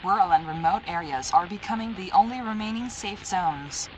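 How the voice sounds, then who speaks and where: A synthetic female voice playing a stern, impersonal emergency alert announcer, reading formal, deliberate warnings in an analog horror broadcast. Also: mid-range voice, lively intonation, slight background noise, close to an everyday voice, rough recording. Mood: stern, urgent.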